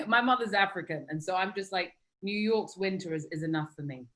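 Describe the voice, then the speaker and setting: A woman's voice: medium pitch, a little fast, a bit bright. A woman from London with a British accent, chatting casually as an actor guest in a friendly way. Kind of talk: casual conversation.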